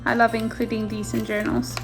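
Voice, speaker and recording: A woman whose first language is Afrikaans, gently showing a handmade journal page by page in a casual flip-through. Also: on the high side, completely flat delivery, music in the background. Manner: gentle, softly enthusiastic, unhurried. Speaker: junk journal maker. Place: indoors at a craft table.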